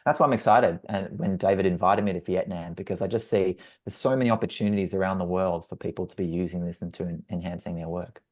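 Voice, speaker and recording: A man's voice: low voice, steady tone, quick pace, hardly any pauses, a little mellow. A man from Australia with an Australian accent, a facilitator introducing himself on a webinar in a friendly, upbeat way. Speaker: facilitator and trainer. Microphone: video call.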